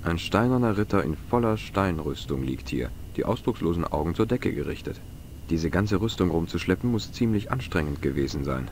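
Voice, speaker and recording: Low-voiced, wry young adventurer. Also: male voice, animated, brisk, darkish tone, a little hiss or hum. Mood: wry narrating. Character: easygoing, curious young male adventurer. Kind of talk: video game voice acting. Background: game music.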